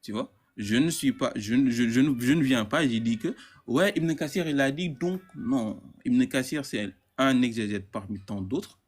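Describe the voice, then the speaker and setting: A man's voice: medium pitch, moderate pace, breathy edge. A man caller in a heated debate, stammering and repeating words as he insists on his point.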